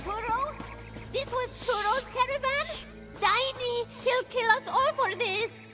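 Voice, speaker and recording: A woman's voice: extremely high pitch, slow, music in the background. A woman playing a panicked, fearful cat-folk woman in a fantasy game, pleading frantically.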